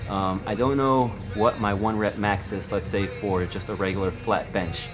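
A man in his thirties, a fitness vlogger, talking viewers through tips in a relaxed, explanatory way while filming himself in a large gym. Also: fairly low pitch, moderate pace. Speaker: fitness YouTuber. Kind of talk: casual how-to vlog. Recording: music bed under voice. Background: background music.

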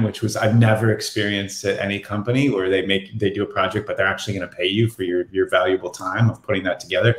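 Middle aged guy, lowish voice, rambling explanation on a video call, echoey room. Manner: rambling, self-correcting. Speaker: marketing executive on a panel. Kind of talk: casual anecdotal explanation. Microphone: video call, laptop distance.

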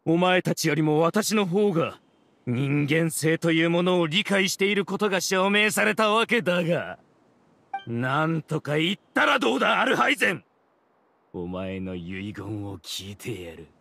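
An actor playing an arrogant mastermind villain in a video game, speaking with haughty, theatrical contempt. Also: male voice, on the high side, lively intonation, moderate pace, gravelly, bright, forceful tone, dry recording, exaggerated voice. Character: arrogant villainous male mastermind ruling a hive mind. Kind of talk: Japanese video game voice acting.

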